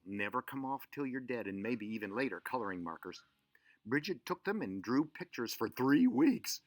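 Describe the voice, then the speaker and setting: A man reading a children's story aloud in an expressive, theatrical way. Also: medium pitch, no echo. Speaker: storyteller and show host.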